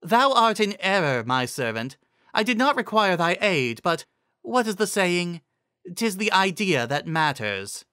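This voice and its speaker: A man voicing an imperious, quick-tempered female alicorn queen in a fantasy story, with grand archaic, commanding speech. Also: sits high, very animated, pushed and bright, clear, resonant tone, no echo, clean studio sound, pitched above the performer's own voice, full character voice. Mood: condescending.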